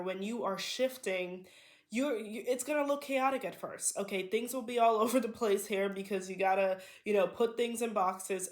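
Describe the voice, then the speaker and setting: A woman with a nasal voice, a little under the weather, giving a spiritual card reading to her viewers in a reassuring way, often checking in with "okay?". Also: mid-range voice. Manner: reassuring, repeats "okay?" and "here". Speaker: tarot reader.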